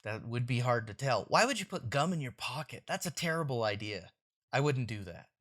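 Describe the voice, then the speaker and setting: Male read-aloud presenter, bright, very animated pitch, casual asides, children's storytelling. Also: fairly quick.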